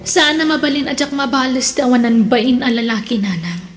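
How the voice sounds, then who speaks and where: A woman's voice: high-pitched, fairly even intonation, fairly quick, close to an everyday voice. A woman playing a young, wilful daughter and lover in a radio drama, with an Ilocano accent and a noisy recording. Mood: bitter, determined.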